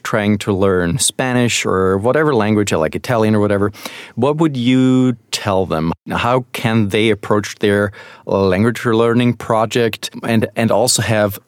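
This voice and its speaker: Low-voiced male interviewer, halting delivery. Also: clear, resonant tone, no room sound, studio quality. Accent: American-sounding English learned as a second language. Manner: hesitant, many fillers and restarts. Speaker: host.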